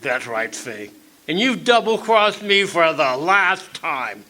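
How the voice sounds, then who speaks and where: An actor playing a menacing, gloating crook with a gun in a melodramatic radio play. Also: male voice, on the high side, sing-song, sharp tone, heavily acted. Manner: slow, gloating, taunting. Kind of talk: melodramatic radio drama villain.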